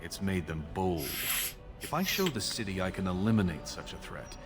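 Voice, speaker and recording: An actor playing an ambitious dwarf prince in a video game, speaking formally and with resolute authority. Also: male voice, low-pitched, very animated, normal speed, music in the background. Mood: measured, grave. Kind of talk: video game character dialogue.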